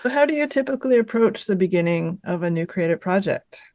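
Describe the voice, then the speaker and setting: Calm, reflective host on a video call. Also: mid-range voice, fast, no room sound. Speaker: podcast host. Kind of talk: casual interview chat.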